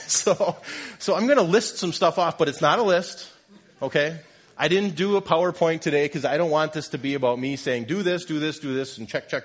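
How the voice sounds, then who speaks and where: Male lay preacher, husky and a bit sniffly from a cold, chatty and self-deprecating in the pulpit. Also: medium pitch. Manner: conversational, jokes with congregation, self-deprecating. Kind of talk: informal sermon. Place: church.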